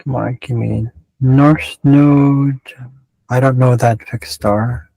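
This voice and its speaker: A man's voice: medium pitch, steady tone, takes it slowly, vocal fry, dark tone, no echo, poor audio. Rambling man with a Canadian-West Coast accent. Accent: mixed Canadian and West Coast American. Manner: rambling, self-interrupting, digressive. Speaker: astrologer. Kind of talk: casual chart reading.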